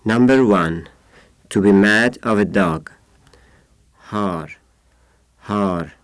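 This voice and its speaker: A man of thirty-five from Yazd with an Iranian accent, a native Persian speaker, reading out a numbered word list in a deliberate way. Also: lowish voice, no echo. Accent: Iranian (Yazdi). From Yazd, Iran. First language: Persian (Yazdi dialect). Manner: deliberate, isolated words with numbered glosses. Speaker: language informant.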